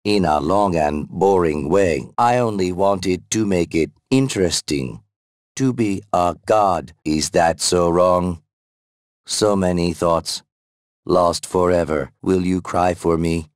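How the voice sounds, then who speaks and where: An actor voicing a childlike, megalomaniac artificial intelligence in a video game, speaking in plaintive, halting fragments. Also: male voice, low-pitched, no echo, clean studio sound. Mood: mournful, fading. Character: dying megalomaniac AI, childlike and mournful. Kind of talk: video game character dialogue.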